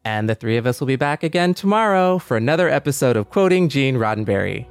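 A man's voice: medium pitch, animated, fast talker, clear, resonant tone, no room sound, soft background music. A man hosting a podcast in casual conversation, talking with an enthusiastic, conversational manner. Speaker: podcast host.

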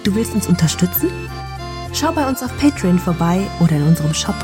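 Low-voiced woman announcing over music. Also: sing-song, a little fast. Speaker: outro announcer. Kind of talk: scripted announcement. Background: loud music.

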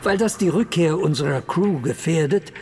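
A man playing a space agency director in an audio drama, giving formal, measured press statements in a creaky voice. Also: a little high, a little mellow, faint music behind, close to an everyday voice. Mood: grave, official. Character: male space agency director announcing bad news and resignation.

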